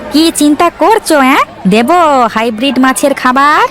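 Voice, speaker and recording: Woman acting a sly shopkeeper man, very high voice. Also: animated, normal speed, fairly bright tone, a faint crowd. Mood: sly, insistent. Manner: wheedling, chuckling. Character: sly, greedy male village shopkeeper. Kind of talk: Bangla cartoon voice acting.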